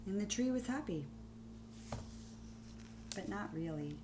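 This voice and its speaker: A woman teacher reading a children's story aloud, deliberate and expressive, with pauses between phrases. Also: medium pitch, background noise.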